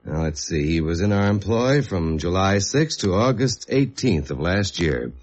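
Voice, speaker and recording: A man's voice: low-pitched, animated, normal speed. A man playing a genial, self-important company head with formal, polite manners in a mid-century radio drama. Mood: businesslike. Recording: dull old radio recording.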